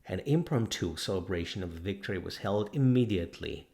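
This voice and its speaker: A man's voice: sits low, lively intonation, brisk, darkish tone. A man reading a novel aloud as scripted dramatic narration. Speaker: audiobook narrator.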